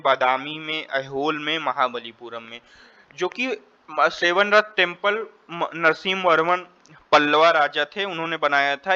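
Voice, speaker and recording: Indian male quiz tutor, slightly high voice, explanatory and repetitive, lo-fi sound. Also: bright, forceful tone. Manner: repeats and rephrases, switches between Hindi and English. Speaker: exam tutor. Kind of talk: quiz lesson, reading questions and explaining answers.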